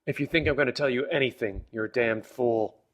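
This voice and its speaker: A man's voice: mid-range voice, brisk, a bit bright, close to an everyday voice. An actor playing a defiant young male prisoner in agony, strained and emphatic in a dramatised audiobook. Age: young adult.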